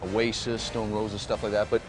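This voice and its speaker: A man's voice: on the low side, normal speed. A Canadian man co-hosting a travel show, interviewing locals and presenting sights in a friendly way. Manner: friendly presenter, expressive delivery.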